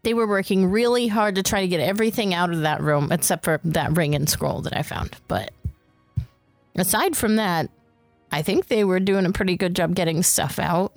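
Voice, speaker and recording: A woman's voice: fairly low pitch, moderate pace, no echo, soft background music. A woman playing in a tabletop role-playing podcast, talking casually, partly in character in her everyday voice. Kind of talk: casual in-character game chat.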